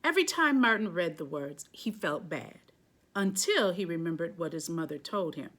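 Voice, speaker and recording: A woman reading a children's picture book aloud, phrasing deliberately and stressing key words. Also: lowish voice, lively intonation, a bit bright.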